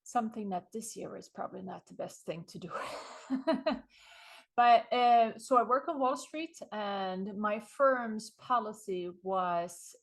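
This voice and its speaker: A middle-aged woman from Sweden with a Swedish accent, being interviewed and telling her story in a lively way with frequent small laughs. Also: medium pitch, slow. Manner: animated storytelling, chuckles often. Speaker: guest author. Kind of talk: conversational interview.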